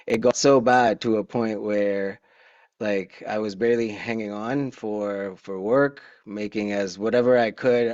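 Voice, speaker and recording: A middle-aged man from Pakistan telling his personal story candidly and informally, with halting pauses, on a video call. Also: fairly low pitch, darkish tone, no room sound. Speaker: guest speaker. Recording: dull, dry video-call audio.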